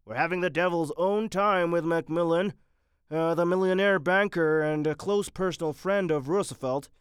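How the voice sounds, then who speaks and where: A distraught surgeon, voiced by a man reading the story, talking strained and hurried. The voice is pitched well above the reader's own and pushed louder. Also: clear, resonant tone, dry recording, studio quality, close to an everyday voice. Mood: anxious. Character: harried anxious male surgeon.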